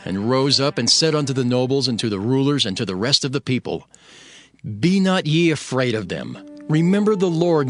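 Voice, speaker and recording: A man narrating dramatized scripture in the first person, with a solemn, declamatory delivery. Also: medium pitch. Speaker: narrator reading as Nehemiah. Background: music.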